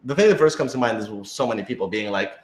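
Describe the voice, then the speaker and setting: A man's voice: mid-range voice, animated, racing. A man chatting casually in a rambling, hedging way, as if thinking aloud while hosting a group Q&A. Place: indoor office used for rehearsals. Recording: echoey room.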